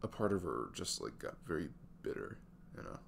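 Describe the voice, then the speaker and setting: A man plays a weary, sweet young single dad opening up in an intimate ASMR roleplay. His very deep voice crackles with gravel and moves up and down expressively as he hesitates and trails off. A faint hum sits behind the soft-spoken delivery. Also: big pitch swings, a little fast, full character voice. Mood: bitter, subdued.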